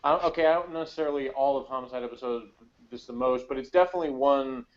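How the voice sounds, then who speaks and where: A man co-hosting a casual review chat about TV, talking in a halting, rambling way with frequent self-interruptions. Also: medium pitch, highs cut off. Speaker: podcast co-host.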